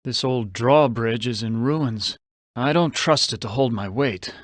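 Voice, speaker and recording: A suave, self-regarding con man in a video game. He has a mid-pitched voice and thinks aloud in a theatrical, brooding way, wary of what surrounds him. The sound is close and dry. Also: fairly bright tone, dry recording. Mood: dry, musing. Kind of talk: video game character monologue.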